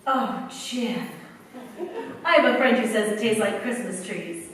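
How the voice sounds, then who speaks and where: A woman's voice: mid-range voice, measured pace, a bit bright, close to an everyday voice. A woman playing an earnest, flustered young American factory worker in a staged 1940s-style radio play, hesitant and wryly candid. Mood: grateful. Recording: echoey hall, distant stage mic, faint noise.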